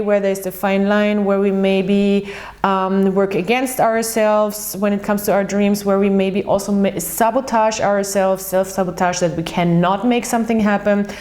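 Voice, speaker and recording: A woman's voice: mid-range voice, fairly even intonation, unhurried, darkish tone. Chatty Austrian woman reading tarot. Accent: Austrian German. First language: German. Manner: chatty, rambling, with many ums and restarts. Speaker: tarot reader. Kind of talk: casual monthly astrology reading.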